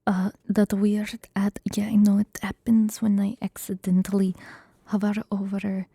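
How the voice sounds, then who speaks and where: A woman whispers her way through a script read, adding chatty asides. Her voice is breathy and soft-toned, slow-paced. The sound is close and dry. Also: mid-range voice, level tone, dry recording. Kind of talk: casual fan table read.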